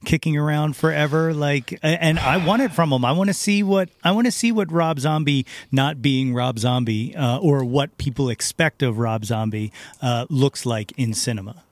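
A man's voice: mid-range voice, normal speed, hardly any pauses, no echo. A man hosting a podcast, putting questions to his guest in a casual interview style.

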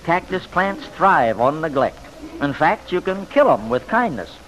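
A man's voice: fairly high pitch, sing-song, measured pace, fairly bright tone. An actor playing a jovial, proud, folksy man who chuckles gleefully in an old-time radio serial. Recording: noisy vintage radio broadcast.

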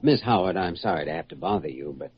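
An American man acting an earnest, considerate doctor in a classic radio drama, questioning gently and a little hesitantly. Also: fairly low pitch, big pitch swings, quick pace, creaky, over loud music, heavily acted. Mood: polite, probing. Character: earnest, considerate young male hospital doctor. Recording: muffled, noisy old radio recording.

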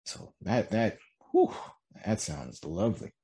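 A man's voice: lowish voice, huge pitch swings, slow, creaky, dark tone, breathy edge. A man hosting a conversational podcast, musing aloud and summing up what others have said. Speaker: podcast host.

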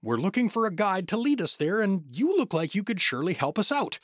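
A man's voice: high voice, sing-song, fairly quick, dry recording, studio quality. A man playing a sarcastic, cocky human adventurer in a fantasy audio comedy. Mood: indignant. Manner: wry, sarcastic.

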